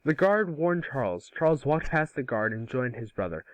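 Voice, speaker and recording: A man narrating a script aloud in an amateur table read, with a halting, stumbling delivery. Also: mid-range voice, very animated, no room sound, lo-fi sound.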